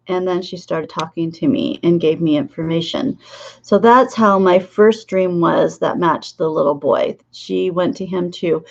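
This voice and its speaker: A woman in her fifties, hoarse and losing her voice, talking haltingly about her dream visions as a psychic in a casual monologue to camera. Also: fairly low pitch, highs cut off. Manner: halting, with many filler pauses.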